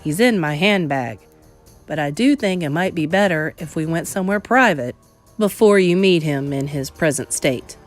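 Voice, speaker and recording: A woman reading aloud, voicing a firm, calm elderly grandmother who speaks low and measured. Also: soft background music. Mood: patient, firm.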